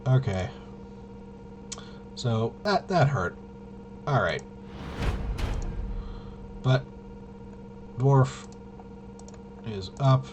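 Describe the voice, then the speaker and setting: A man thinking aloud through a tabletop game walkthrough, casually muttering to himself in a halting way and trailing off. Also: medium pitch, expressive, background noise. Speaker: solo tabletop RPG player demonstrating gameplay.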